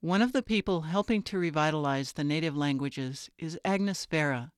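Woman radio host reading a script, dry close mic, steady. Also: deep voice, normal speed, no echo.

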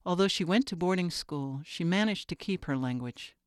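A woman hosting a radio feature, reading scripted narration in a measured, clear way. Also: on the low side, animated, no echo, clean studio sound.

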